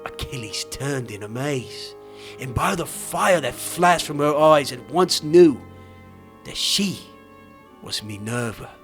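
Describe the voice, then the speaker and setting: A man's voice: medium pitch, expressive, slow. A man narrating an epic audiobook in a grave, theatrical storytelling style, with music behind him. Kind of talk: dramatic scripted audiobook narration.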